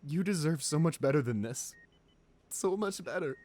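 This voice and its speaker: A man playing a ruthless but devoted lover in an intimate romantic ASMR roleplay, speaking in a halting, emotional, pleading way. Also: a little high, expressive, darkish tone. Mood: anguished.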